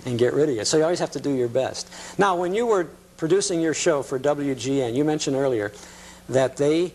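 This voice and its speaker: A man's voice: a little high, fairly quick, darkish tone. A man hosting a talk show, interviewing in a casual, chatty way with some hesitant phrasing, on a noisy recording.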